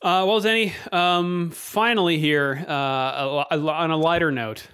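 A man's voice: fairly high pitch, steady tone, normal speed, fairly bright tone, clean tone. A man in his thirties hosting a podcast in a casual, chatty way, close on a microphone at home. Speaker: podcast host. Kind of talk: casual chat. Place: indoor room at home. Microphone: close studio microphone with headphones.

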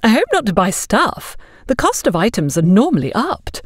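Lady doing a pushy gossipy woman in an audio story, smug, high voice swooping around. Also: sing-song, normal speed, slightly rough, dry recording, clean studio sound. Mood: condescending surprise. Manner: bossy, effusive, talks down to others. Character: overbearing, gossipy plump woman, smugly condescending.

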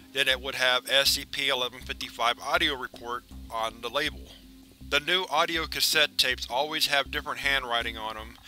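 Male narrator, very bright, sharp tone, mid pitch; matter-of-fact agent dictating a personal audio log, music underneath. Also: poor audio.

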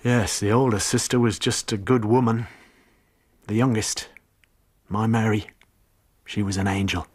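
Radio drama role: bitter, remorseful working seaman, low-pitched, rough husky voice. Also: fairly even intonation, unhurried, pauses often. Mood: remorseful confession.

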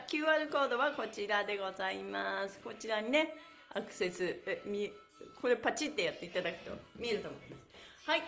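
A bubbly middle-aged woman leads a stretch class from a small indoor studio and chants her counts in cheerful, sing-song bursts. Her voice sits high and swoops widely. A phone camera a few steps away picks her up in a roomy, echoing space with faint music underneath. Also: a bit bright. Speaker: stretch instructor. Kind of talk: live-streamed stretch class. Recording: echoey room.

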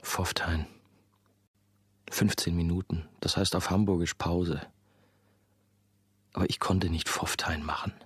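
Very deep-voiced brooding narrator. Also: male voice, steady tone, slightly rough, heavily acted. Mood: brooding, confiding. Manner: measured, confiding, terse. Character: brooding young former novice, haunted and obsessive. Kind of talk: first-person radio drama monologue.

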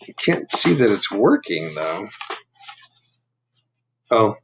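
A man in his fifties reading a picture book aloud to children, adding chatty asides and gentle questions. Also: fairly low pitch, noisy background. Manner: asking the listeners gentle questions. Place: living room at home. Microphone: laptop webcam at arm's length.

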